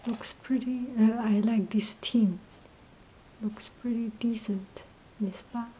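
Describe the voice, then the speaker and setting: Woman vlogger, breathy half-whisper, low energy, slow, flat delivery. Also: a little hiss or hum, rough recording. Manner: hesitant, many pauses and fillers. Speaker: tea vlogger. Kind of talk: casual show-and-tell narration. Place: indoors at a table, off camera.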